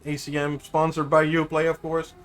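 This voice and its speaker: A man's voice: on the high side, monotone, moderate pace, soft background music. A man with a Dutch accent giving chatty live commentary on a sim racing qualifying session, often correcting himself and unsure how to say drivers' names. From Netherlands. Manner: chatty, self-correcting, unsure of name pronunciations. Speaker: race commentator.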